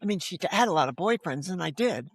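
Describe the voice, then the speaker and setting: A woman's voice: fairly low pitch, animated, fast talker, husky, no echo, clean studio sound. An older woman telling her own story candidly as an interview guest, frank, wry and self-deprecating, often quoting what people said. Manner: quotes dialogue while telling stories. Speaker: podcast guest, novelist.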